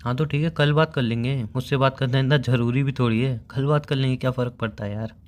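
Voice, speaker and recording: A man's voice: mid-range voice, fairly even intonation, fast talker, darkish tone, natural voice. A young man playing a hurt, sulky boyfriend in an everyday phone-call drama, sarcastic and reproachful. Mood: sulky, resigned.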